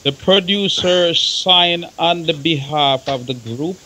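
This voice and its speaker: A man arguing his points on a radio talk show, emphatic and quick to cut in, repeating his phrases. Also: on the high side, pushed and bright, low-quality recording. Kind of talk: opinionated talk show discussion.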